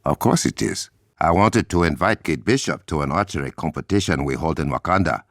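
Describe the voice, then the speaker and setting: An actor playing a dignified Wakandan warrior king in a video game, with an African accent, a gravelly husky voice and formal, measured, dryly witty phrasing. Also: extremely low pitch, lively intonation, no room sound, studio quality. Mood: reserved, puzzled. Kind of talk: video game character dialogue.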